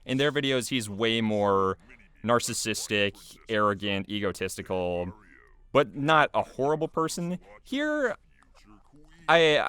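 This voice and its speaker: A man's voice: on the low side, big pitch swings, unhurried, pushed and bright, clear, resonant tone. A man giving casual, unscripted behind-the-scenes commentary in a rambling, hesitant way.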